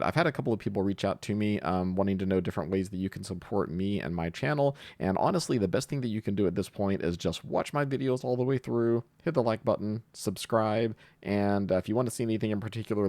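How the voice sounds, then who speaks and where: A man's voice: low voice, darkish tone, no echo. A man presenting an informal step-by-step screencast tutorial, explaining things as he goes. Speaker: host of a programming tutorial channel.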